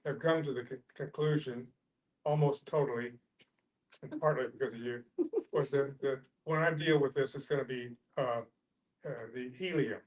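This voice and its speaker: A man telling a casual personal anecdote in a halting, rambling, folksy way during a group discussion. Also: mid-range voice, fairly even intonation, low-quality recording. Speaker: discussion participant.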